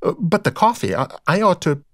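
A scatterbrained, apologetic young wife played by a male audiobook narrator, pitched well up. She stammers and leaves her sentences hanging, always flustered. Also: sing-song, fairly bright tone, no echo, studio quality, full character voice. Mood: fluttery, hospitable. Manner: halting, stammering, breaks off mid-sentence. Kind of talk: audiobook character dialogue.

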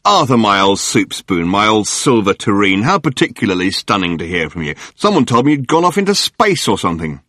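A man voicing a gushing, fast-talking male tabloid journalist who babbles silly nicknames in a breathless torrent. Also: lowish voice, very animated, fairly bright tone, no echo, studio quality. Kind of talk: audiobook character voice.

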